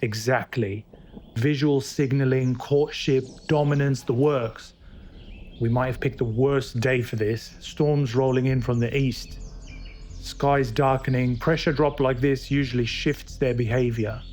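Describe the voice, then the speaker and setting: Actor as a matter-of-fact dinosaur keeper, noisy tape. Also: male voice, medium pitch, steady tone, unhurried, a little mellow, lightly acted. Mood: keen, explanatory. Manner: informative, clipped, matter-of-fact. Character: knowledgeable, keen male dinosaur keeper. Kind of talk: found-footage horror audio drama. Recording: noisy tape with outdoor ambience.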